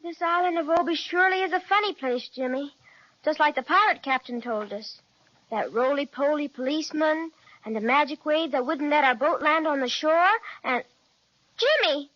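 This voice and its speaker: A woman's voice: high-pitched, normal speed, a bit bright, no room sound, lo-fi sound. A woman playing a timid, sweet little girl in a children's audio serial, with an earnest, plaintive, childlike way of talking. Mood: puzzled. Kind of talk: children's radio serial acting.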